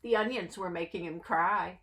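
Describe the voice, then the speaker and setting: A woman's voice: fairly low pitch, moderate pace, fairly bright tone. A woman reading a picture book to children expressively, putting questions to her young listeners. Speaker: children's story reader.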